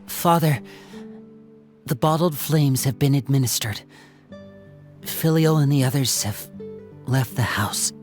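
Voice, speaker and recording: A man's voice: mid-range voice, slow pace, pauses often, darkish tone, close to an everyday voice. An actor playing an earnest, humble young man in a video game, speaking with sincere devotion. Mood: earnest, resolved. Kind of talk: scripted video game dialogue. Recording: music behind the voice.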